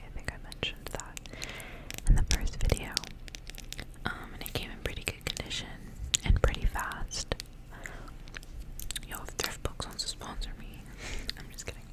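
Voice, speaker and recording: A young woman whispering breathily in a soft, informal ASMR style, chatting casually and sometimes slowly repeating words. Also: poor audio. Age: young adult. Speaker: ASMR creator. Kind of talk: ASMR intro and casual life update.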